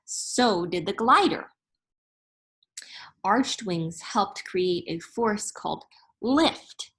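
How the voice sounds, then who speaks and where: A woman reading a children's science picture book aloud like a teacher, in a deliberate, instructive way that makes each term clear. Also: mid-range voice, a bit bright. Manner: deliberate, instructive, spelling out and explaining terms.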